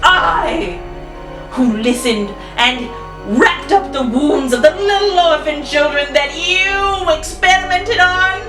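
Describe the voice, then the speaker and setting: A woman voicing a scheming seventy-year-old villainess who gloats in a drawn-out, theatrical way. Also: very high voice, expressive, voice pushed up, heavily acted. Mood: gloating. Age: 70s. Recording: roomy, off-mic, music behind.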